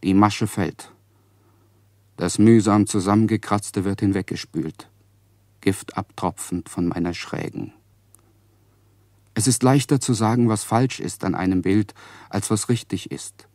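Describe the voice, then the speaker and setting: Radio play, brooding male narrator: low register, anxious literary monologue, close dry sound. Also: unhurried, pauses often, darkish tone, no room sound.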